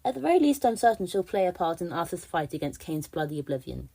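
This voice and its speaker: A woman's voice: fairly low pitch, very animated, quick pace, vocal fry, mellow. A woman delivering prepared fan commentary as a podcast contributor.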